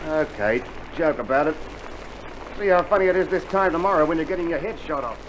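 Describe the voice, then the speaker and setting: A man's voice: a little high, animated, fairly quick, lightly acted. An actor playing an earnest, irritable Australian infantryman itching for battle, with emphatic retorts, in a scripted mid-century radio drama. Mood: exasperated. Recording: noisy old vinyl radio drama recording.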